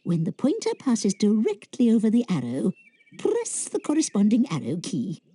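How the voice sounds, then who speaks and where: A bustling British witch teacher in a video game lesson. Her voice is very mellow and husky, swinging wildly in pitch as she encourages the class. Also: huge pitch swings, normal speed. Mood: encouraging. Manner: schoolteacherly, encouraging.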